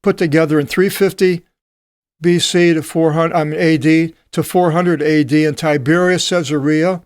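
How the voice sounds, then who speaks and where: A man teaching a Bible study, reading passages aloud and commenting on them in a deliberate way with frequent pauses. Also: a little high, dry recording, studio quality.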